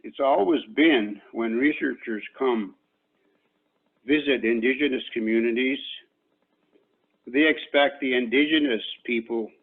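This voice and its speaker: An older man whose first language is Cree speaks very slowly and deliberately, stopping often between thoughts. His voice sits at an everyday middle pitch. It comes through a thin, phone-like video call line. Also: husky. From Canada. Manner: slow and measured, with many pauses and fillers. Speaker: Elder and invited speaker. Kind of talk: reflective talk at an online panel. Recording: tinny phone-like call audio.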